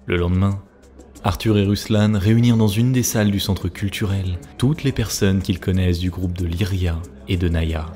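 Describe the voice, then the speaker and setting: A man's voice: low voice, steady tone, moderate pace, warm tone. A man narrating a scripted story for a cartoon, as a storyteller. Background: music.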